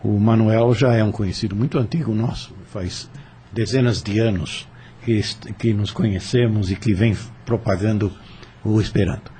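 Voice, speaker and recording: An older Brazilian man hosting a radio panel discussion, speaking haltingly and repeating words as he explains. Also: lowish voice, fairly even intonation, dark tone, a little hiss or hum. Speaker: radio host.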